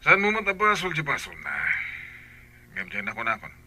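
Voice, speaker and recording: A man's voice: on the high side, wildly swooping pitch, moderate pace, sharp tone, full character voice. A man playing an inquisitive doctor. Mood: probing. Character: calm doctor questioning a patient's family.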